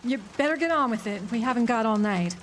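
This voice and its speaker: A woman's voice: fairly high pitch, lively intonation, quick pace, natural voice. An actress playing a tough, jaded small-town diner woman, dry and sardonic, in a noir radio drama. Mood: jaded, challenging. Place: outdoor amphitheater stage. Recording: faintly noisy outdoor live recording.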